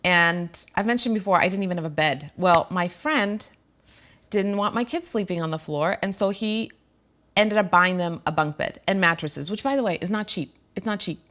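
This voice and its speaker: A woman's voice: on the low side, normal speed, a bit bright. A middle-aged woman hosting a talk show, speaking earnestly and emotionally to viewers about her own life, with frequent fillers. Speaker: show host. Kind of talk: candid personal talk to viewers.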